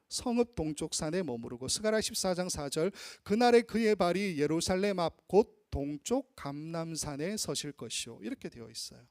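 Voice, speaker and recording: Male pastor, teaching sermon, high and expressive delivery, dry close sound. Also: dry recording. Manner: teacherly, draws out Greek and Aramaic word forms slowly. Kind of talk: expository sermon. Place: church sanctuary.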